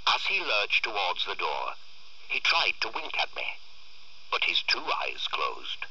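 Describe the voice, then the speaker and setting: A man reading a literary short story aloud in a theatrical, expressive way. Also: fairly low pitch, unhurried, vocal fry, slightly rough, dry recording, highs cut off. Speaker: story reader.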